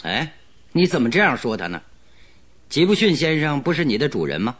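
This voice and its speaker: An actor playing a confident, commanding detective in a radio drama, with a brisk, sardonic, probing manner. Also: male voice, mid-range voice, sing-song, a bit bright.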